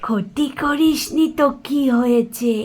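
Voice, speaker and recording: A woman's voice: sits high, darkish tone. A woman voicing a gloating, threatening bloodsucking female demon in a puppet cartoon, drawing out her taunts. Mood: menacing. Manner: menacing, drawn-out taunting. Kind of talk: Bengali puppet cartoon voice acting.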